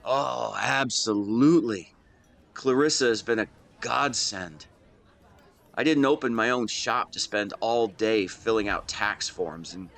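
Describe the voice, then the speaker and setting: A man's voice: mid-range voice, a bit bright. A man in his twenties playing a devoted, protective and secretly lovesick best friend in a conversational audio roleplay, earnest and playful with some hesitation. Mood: relaxed, chatty. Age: 20s. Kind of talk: intimate one-sided roleplay acting, conversational.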